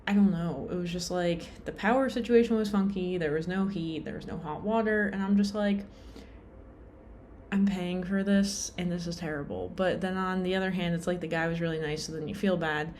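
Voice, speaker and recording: A woman's voice: on the low side, steady tone, moderate pace, darkish tone, slight background noise. A young adult woman vlogging in a casual, rambling way, full of fillers and self-deprecating asides, sounding tired.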